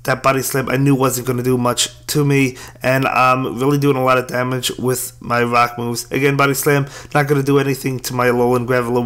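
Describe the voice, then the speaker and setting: A hoarse man who is losing his voice gives casual, apologetic play-by-play commentary on his own game matches. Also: mid-range voice, fairly bright tone. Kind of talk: gameplay commentary.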